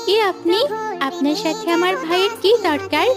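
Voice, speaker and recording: A woman's voice: very high-pitched, moderate pace, music in the background. A woman voicing a small, innocent little girl with an eager, childlike manner in a moral cartoon. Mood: eager, curious. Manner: childlike, expectant. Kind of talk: Islamic moral cartoon dialogue.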